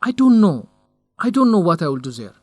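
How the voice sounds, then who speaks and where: A man's voice: high-pitched, sing-song, fairly quick, a little mellow, no echo. An actor playing a young male researcher in a radio drama, lovesick and stubborn, speaking in an earnest, defensive way.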